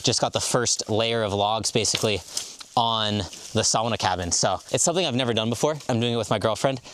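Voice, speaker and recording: A man's voice: lowish voice, fairly quick, a bit bright, slight background noise. A man with an American accent introducing himself to the camera in a casual, chatty way, easygoing with filler words. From grew up in the United States. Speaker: guest vlogger, beginner.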